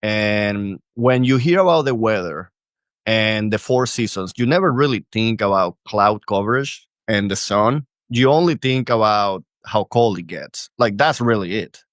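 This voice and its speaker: A man's voice: lowish voice, animated, a little slow, no room sound. A man in his thirties from Venezuela, a coach talking as a guest in a friendly, upbeat way on a studio mic. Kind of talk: casual interview chat. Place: small home studio, indoors. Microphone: studio mic on boom arm.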